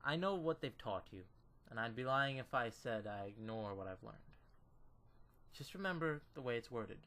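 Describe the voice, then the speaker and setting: A calm male mentor, played by a man in a visual novel drama. His voice sits in a middle range, measured and firm, with a gently persuasive manner. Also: mid-range voice. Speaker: voice actor in a fan dub.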